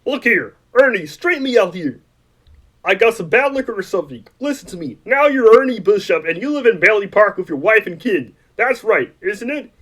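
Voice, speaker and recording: A man's voice: sits high, expressive, moderate pace, a bit bright. A man voicing a frantic puppet frog who plays a bewildered small-town family man in a comic radio play, with a thin, strained, pleading, folksy delivery. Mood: agitated.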